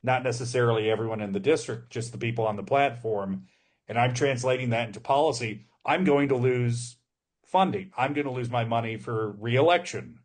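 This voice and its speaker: Male podcast host, average pitch; thoughtful, conversational interviewer who builds questions discursively. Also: brisk.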